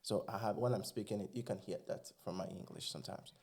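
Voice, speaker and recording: A man's voice: fairly low pitch, quick pace, a little mellow. A man with a Nigerian accent, whose first language is Igbo, talking earnestly and with animation as a guest in a casual podcast chat.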